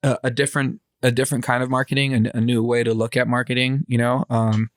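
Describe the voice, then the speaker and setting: A man's voice: mid-range voice, little pitch movement, fast talker, no echo, studio quality. A man, chatting casually as a podcast co-host in laid-back, slangy talk. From grew up in Los Angeles area, California, born in Israel.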